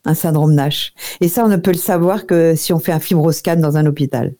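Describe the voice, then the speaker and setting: A woman's voice: deep voice, a little fast, dark tone. A woman giving direct, familiar nutrition advice on a radio show. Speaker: nutrition expert guest.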